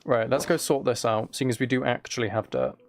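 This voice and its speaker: A man livestreaming a game with a flat, mellow voice, rambling casually and softly to his viewers in a low-key way. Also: brisk. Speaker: live streamer. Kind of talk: casual gaming livestream chat, soft ASMR-like. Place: desk at home, live stream.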